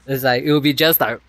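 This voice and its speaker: A man hosting a casual chat stream in an animated, rambling way with frequent laughs and a non-native accent. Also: medium pitch, no room sound. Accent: non-native, unnamed. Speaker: streamer host.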